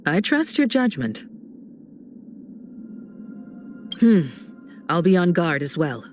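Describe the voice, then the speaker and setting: Casting: elegant adult woman navigator for a video game, unhurried, lively intonation, reassuring poise. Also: lowish voice, soft background music. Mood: encouraging, gracious. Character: graceful, composed, warm adult woman, spacefaring train navigator. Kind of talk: polished game voice acting.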